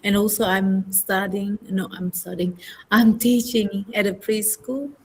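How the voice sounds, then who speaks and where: A woman's voice: medium pitch, steady tone, measured pace, darkish tone, breathy edge, poor audio. A young woman, a trainee teacher chatting in English over a video call, thoughtful and hesitant, often correcting herself. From Indonesia. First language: Indonesian. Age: young adult. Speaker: university student, trainee teacher. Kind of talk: casual conversation practice.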